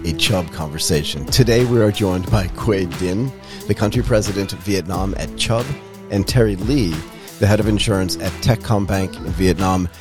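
A man's voice: deep voice, normal speed, music in the background. A man hosting an interview podcast, friendly and asking short follow-up questions. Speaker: podcast host. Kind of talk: interview conversation.

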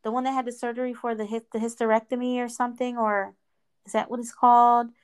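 A woman's voice: fairly high pitch, little pitch movement, fast talker, smooth. A woman co-hosting a podcast, congested from a cold, rambling informally with many fillers. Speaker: podcast co-host. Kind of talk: casual chat.

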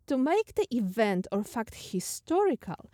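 Polish accent woman hosting a faith podcast, mellow voice, dry close sound, thinks out loud. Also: fairly high pitch, sing-song, no room sound. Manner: thoughtful, questioning, slightly halting. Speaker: podcast host. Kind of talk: reflective faith talk.

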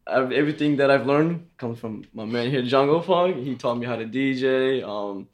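A man from New York with a middle-pitched voice talks as a podcast guest. He is soft-spoken and easygoing, with lots of "you know" and "um" through his answers. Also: normal speed. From Schenectady, New York. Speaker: DJ and dancer guest. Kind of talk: casual interview chat.

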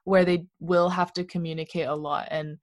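A young woman chatting casually with friends in a relaxed, thoughtful way, with some hesitant fillers. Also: fairly low pitch, normal speed, dry recording, clean studio sound. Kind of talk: casual chat.

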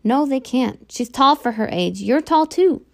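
A woman's voice: high voice, animated, measured pace, vocal fry, voice pushed up, heavily acted. A woman voicing a distraught, fiercely protective mother who pleads and sputters through tears. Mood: tearful, desperate.

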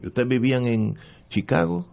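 A man's voice: mid-range voice, fairly even intonation, moderate pace, gravelly, darkish tone. A man interviewing in a conversational, probing way. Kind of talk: conversational questioning.

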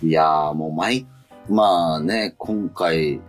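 A man's voice: extremely low pitch, takes it slowly, faint music behind. A man co-hosting a casual radio after-show chat, feeling his way through sentences and often rephrasing. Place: radio studio.

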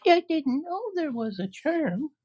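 A woman voicing an earnest, polite young girl in a bright, childlike voice. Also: high-pitched, lively intonation, a little slow, muffled recording, pitched above the performer's own voice.

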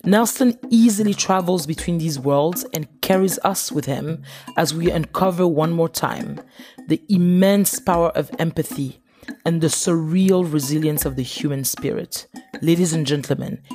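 A woman's voice: low-pitched, moderate pace, darkish tone, faint music behind. A woman from Africa hosts a podcast interview, talking in an eager, effusive way. She speaks over a video call, often restarting her sentences as she goes. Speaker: podcast host.

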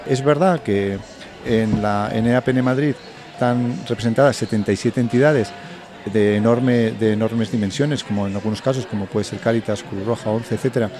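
A man with a Spain Spanish accent, a fair organizer talking in a live radio interview outdoors, thoughtful and full of restarts and self-corrections. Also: medium pitch. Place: outdoors in city park gardens. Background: crowd.

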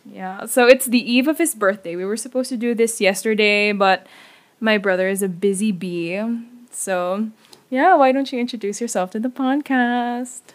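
A woman's voice: mid-range voice, normal speed. Young Filipina host, casual chatty delivery, recorded away from her usual setup. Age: young adult. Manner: chatty, laughs often, trails off softly. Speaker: podcast host. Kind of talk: casual podcast chat.

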